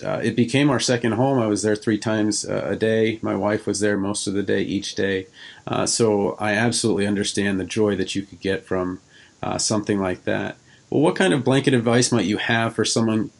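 Low-pitched man hosting an interview. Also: gravelly. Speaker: podcast host, interviewer. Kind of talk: casual interview.

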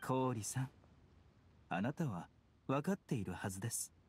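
A man playing a calm, polite, gently unyielding physician in a video game, with formal speech. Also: mid-range voice, moderate pace, slight background noise, natural voice. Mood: gently firm. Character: composed, courteous male physician, gently unyielding. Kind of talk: Japanese game voice acting.

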